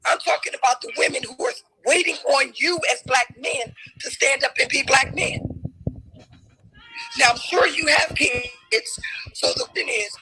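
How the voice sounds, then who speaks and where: Forceful high-voiced woman arguing hard. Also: a little slow, slight background noise, low-quality recording. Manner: forceful, emphatic, challenging questions. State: losing her voice. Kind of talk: heated debate.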